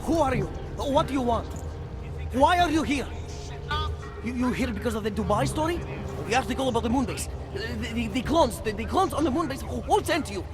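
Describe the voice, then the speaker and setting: An actor playing a paranoid, defiant underground journalist in a video game, a fervent idealist who rambles and stammers in slangy conspiracy rants. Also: male voice, very high-pitched, expressive, brisk, over music. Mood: agitated, panicky.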